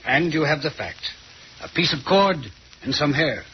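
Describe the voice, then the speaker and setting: An actor playing a confident, condescending detective who lectures and teases in an old-time radio mystery. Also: male voice, on the high side, expressive, normal speed, natural voice. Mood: triumphant, teasing. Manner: dramatic, lecturing, teasing. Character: confident, theatrical male detective, shrewd and condescending. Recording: muffled, noisy old radio recording.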